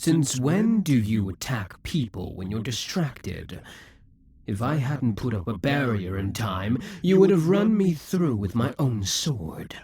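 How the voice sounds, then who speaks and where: A possessive demon king who schemes and broods, with a man doing the voice. He speaks to the listener slowly, in a husky, breathy tone. His pitch swings widely as he monologues for an audio roleplay. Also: sing-song, a little mellow, exaggerated voice. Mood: exasperated.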